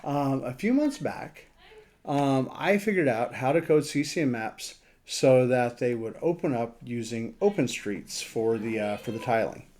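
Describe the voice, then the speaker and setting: A man giving a casual screen walkthrough as a radio hobbyist, thinking aloud in a halting way. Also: mid-range voice, expressive, a little mellow. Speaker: amateur radio operator presenting a map demo.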